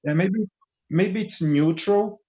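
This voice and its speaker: A man's voice: sits high, takes it slowly, mellow, lo-fi sound. Brazilian man hosting in English. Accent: Brazilian Portuguese. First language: Portuguese. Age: 30s. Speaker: English teacher and podcast host. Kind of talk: casual podcast chat.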